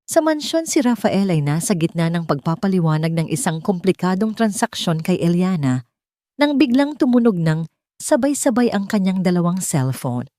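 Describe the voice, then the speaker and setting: A woman reading a scripted dramatic story as narrator, with deliberate phrasing. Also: lowish voice, big pitch swings, warm tone, no room sound, clean studio sound.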